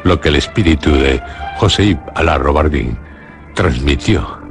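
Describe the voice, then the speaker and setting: A man with a Castilian Spanish accent presenting a radio horror show in a solemn, portentous, suspenseful scripted style, in short deliberate phrases. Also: rumbling low, lively intonation, vocal fry, over music.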